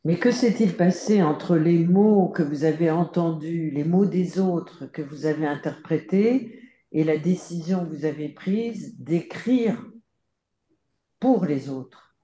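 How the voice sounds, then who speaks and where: A woman interviewer poses measured, probing questions at an unhurried pace. Her voice is dark and soft. She sounds distant from the mic, in a dull, echoing room. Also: deep voice.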